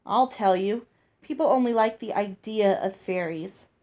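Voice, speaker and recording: A woman reading aloud and voicing a gruff older fairy woman who talks bluntly and scolds. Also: mid-range voice, measured pace, darkish tone, lightly acted. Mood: brusque. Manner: brusque, scolding. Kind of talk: read-aloud storybook dialogue.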